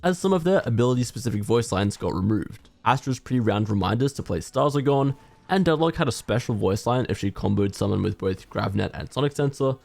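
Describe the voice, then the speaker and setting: A man giving casual, conversational commentary on a video game in a measured, opinionated way. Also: mid-range voice, lively intonation, creaky, darkish tone, dry recording.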